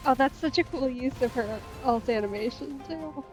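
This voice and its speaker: A woman's voice: sits high, moderate pace, darkish tone, soft background music. A woman giving casual, wry commentary while playing a video game, musing aloud to herself. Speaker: let's play streamer.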